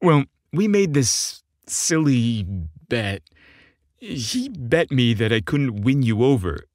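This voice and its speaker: Man reading earnest, hesitant young romance hero, slow-paced. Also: medium pitch, lively intonation, darkish tone, dry recording, clean studio sound. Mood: apologetic. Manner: hesitant, sincere. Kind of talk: audiobook dialogue.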